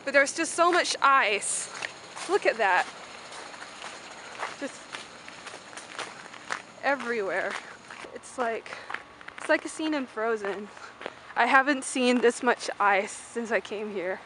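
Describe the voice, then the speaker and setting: A young woman with a fairly high voice talks as a student vlogger, casual and unscripted. She rambles informally, leaning on fillers as she gives a walking tour. The recording is handheld outdoor audio with faint noise behind her. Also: takes it slowly, pauses often, pushed and bright, poor audio. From Malaysia. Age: young adult. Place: mostly outdoors on a university campus.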